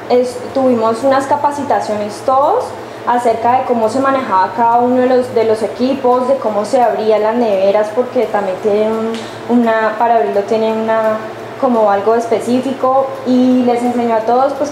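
Young Colombian woman, food service operator, halting testimonial, roomy noisy kitchen. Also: fairly high pitch. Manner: hesitant, many fillers and restarts. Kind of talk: testimonial interview. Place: large industrial kitchen, indoors. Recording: echoey kitchen with noisy ambience.

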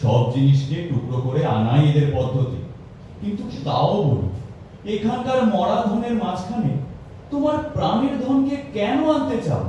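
A man's voice: very animated, moderate pace, mellow. A man acting a learned, worried, philosophical professor in a theatrical Bengali stage audio drama. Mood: wry. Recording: echoey hall, distant dull mic, rumble.

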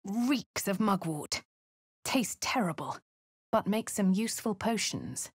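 A woman's voice: mid-range voice, expressive, takes it slowly, husky, dry recording, studio quality. Posh, wry female adventurer. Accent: posh English. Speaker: player character. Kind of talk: game voice lines and narration. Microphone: close studio mic, dry.

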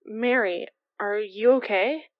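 A woman's voice: medium pitch, slow pace, no echo, lightly acted. An actress playing an emotionally open, defensive young woman neighbor in an audio drama, in a conversational style. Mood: breezy, puzzled. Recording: dry, slightly dull close recording.

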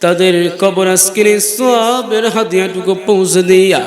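A male cleric leading a chanted supplication, pleading in melodic, drawn-out phrases. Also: sits high, faint music behind. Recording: echoey PA with long reverb.

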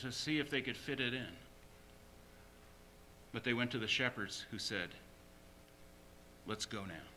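Bright-voiced male pastor preaching. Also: medium pitch. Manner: emphatic, repeats short phrases. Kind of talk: sermon.

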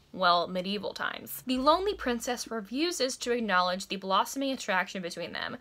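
A woman's voice: on the high side, pushed and bright. A woman of twenty chatting about books and reading blurbs aloud in a casual way as a vlogger. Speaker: book vlogger. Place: indoors in a room with bookshelves.

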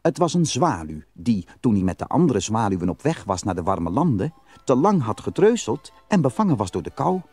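A man's voice: fairly low pitch, big pitch swings, a little fast, darkish tone, breathy edge, faint music behind. A man narrating a fairy tale as a scripted storyteller.

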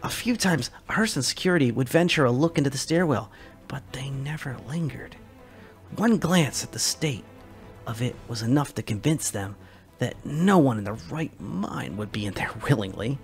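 A man narrating a science fiction short story as a dramatic reading, measured and expressive. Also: mid-range voice.

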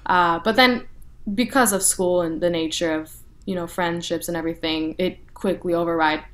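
A young woman from North Texas, speaking as an interview guest in a relaxed way with lots of "you know" and "like" fillers. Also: fairly low pitch, moderate pace. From North Texas, USA. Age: young adult. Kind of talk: casual interview talk.

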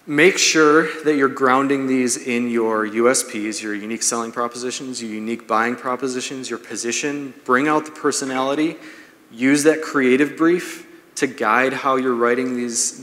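A man's voice rings through a large, reverberant room. He speaks as a seminar presenter, at a middling pitch. His delivery is conversational and explanatory, laying out practical points for listeners. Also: a bit bright. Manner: conversational, explanatory, checks in with "right?". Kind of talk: business seminar talk to an audience. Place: large brick-walled event room, indoors. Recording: echoey hall, long reverb.

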